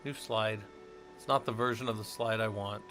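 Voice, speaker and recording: A man from the American Southwest works through a casual tech tutorial on a live stream. He thinks aloud, rambles a little and breaks off to chat with viewers. His voice is fairly low but bright and forceful, with faint music behind him. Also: fairly even intonation, normal speed. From Southwest United States. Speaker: tech streamer teaching Linux monitoring.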